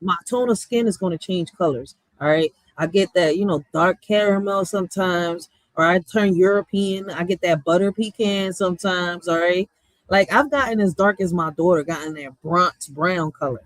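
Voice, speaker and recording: A woman's voice: fairly low pitch, moderate pace, no echo, rough recording. A woman with a small, girlish voice, chatting casually in blunt slang and often raising her voice as a streamer. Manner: animated, blunt, slangy, raises voice. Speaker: streamer host. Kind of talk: casual reaction chat.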